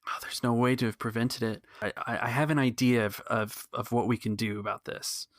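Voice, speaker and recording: A man playing a protective, cautious rebel organiser in a tabletop game, reassuring and measured but halting. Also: mid-range voice, little pitch movement, dry recording, clean studio sound, natural voice. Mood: urging caution.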